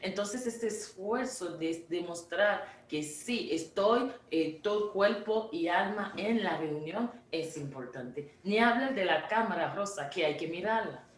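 A woman's voice: mid-range voice, lively intonation, unhurried, hardly any pauses. Distant echoey woman on a video call. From Haiti. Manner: earnest, explanatory, with small restarts. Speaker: image consultant guest. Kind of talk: remote interview over video call. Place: home studio room indoors. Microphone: video call from a laptop camera.

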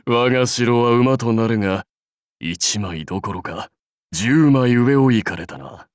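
A man's voice: mid-range voice, dry recording, clean studio sound, close to an everyday voice. A man voicing a proud, seasoned samurai warlord in a mobile game, speaking in a dignified, archaic lordly manner. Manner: stately, archaic lordly speech. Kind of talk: Japanese mobile game character voice lines.

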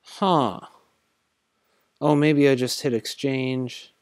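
A man's voice: mid-range voice, fairly even intonation, very slow, dry recording, studio quality. A tired man casually thinking aloud while walking through a screen-recorded tutorial, rambling with many fillers. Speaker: crypto tutorial host.

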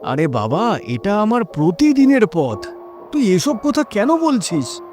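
A man's voice: high voice, wildly swooping pitch, takes it slowly, a little mellow, soft background music, voice pushed up, heavily acted. A man playing an anxious, bewildered young traveller who asks uneasy questions in a horror story.

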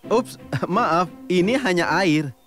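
A man's voice: high-pitched, animated, slow, a bit bright, heavily acted. A man voicing an easygoing, friendly truck in a preschool cartoon, upbeat and reassuring. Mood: cheerful. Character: easygoing, friendly green monster truck, forgetful helper. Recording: music under the voice.

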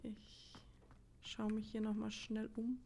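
A woman's voice: fairly high pitch, little pitch movement, takes it slowly, mellow, a little hiss or hum. A woman playing a video game, thinking aloud in colloquial asides and reading game text. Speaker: let's play host. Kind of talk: casual gaming commentary with read-aloud game text.

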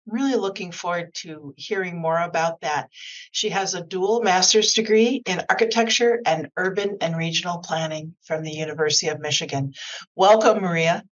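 An older woman hosts an interview, introducing her guest eagerly, sometimes stumbling a little over her words. Her voice sits slightly low. The audio is muffled and lo-fi, as on a video call from an office. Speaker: podcast host. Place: office meeting space, indoors.

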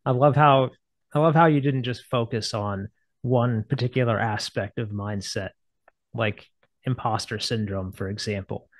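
A man's voice: medium pitch, moderate pace, dry recording. Upbeat man hosting a video call interview. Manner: enthusiastic, affirming. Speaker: interviewer host. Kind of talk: casual interview talk. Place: home office with bookshelves. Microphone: earphone mic on a video call. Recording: dry video call audio.

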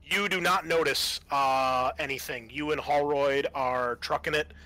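A man narrating a tabletop roleplaying game as game master, explaining things in a halting, improvised way. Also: a little high, fairly even intonation, sharp tone, no room sound, low-quality recording.

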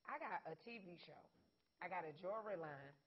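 A woman's voice: fairly low pitch, expressive, a little fast. A young woman co-hosting a casual studio talk show, blunt and joking in a plain-spoken way. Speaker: co-host. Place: TV studio set, indoors. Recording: dull lo-fi broadcast sound.

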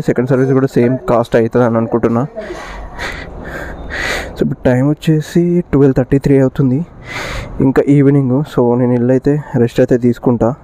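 A man's voice: mid-range voice, warm tone. A man with a Telangana Telugu accent, chatting casually as a motovlogger and mixing English words into his speech. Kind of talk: casual vlog chat. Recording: vehicle and ambient noise behind. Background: faint vehicle noise.

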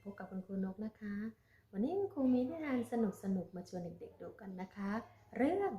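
Lively woman greeting kids in an echoey room. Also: mid-range voice, fairly quick, dull audio, noisy background. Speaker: teacher. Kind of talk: friendly greeting to children.

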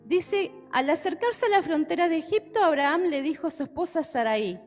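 A young Argentine woman preaches into a handheld mic, her high voice swooping up and down as she talks with her listeners. Her words ring out with the long reverb of a church hall, with soft music faint behind her. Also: normal speed. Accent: Argentine Spanish. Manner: lively and conversational, often checking with listeners. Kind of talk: sermon with Bible reading. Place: church hall with a stage, indoors.

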